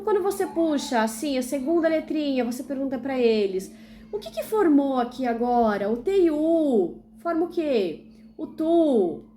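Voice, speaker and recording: A young woman from Brazil walks through a classroom activity step by step as a teacher trainee, asking and answering her own questions. Her voice sits fairly high but soft and mellow. A faint hum of the room runs behind her. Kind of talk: instructional demonstration video.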